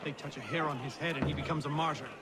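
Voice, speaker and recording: A man's voice: on the high side, steady tone, fast, very noisy, natural voice. An actor playing a hot-headed, defiant colonial townsman in a video game, speaking emphatically. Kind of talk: video game cutscene acting. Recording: loud game music behind the voice.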